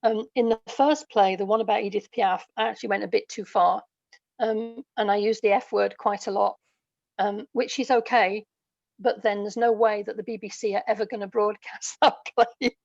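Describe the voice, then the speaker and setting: A woman's voice: medium pitch, steady tone, moderate pace, gravelly, dry recording. A woman being interviewed as a guest, explaining her writing craft thoughtfully in a conversational way, on a lo-fi recording. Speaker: interview guest, audio drama writer. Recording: dull, lo-fi, close dry sound.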